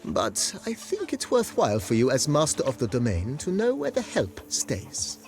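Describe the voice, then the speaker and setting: An actor playing a courteous, proud majordomo in a video game, giving a guided tour in formal, precise, politely ornate speech. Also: male voice, medium pitch, wildly swooping pitch, normal speed, gravelly, darkish tone. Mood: courteous, refined. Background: music.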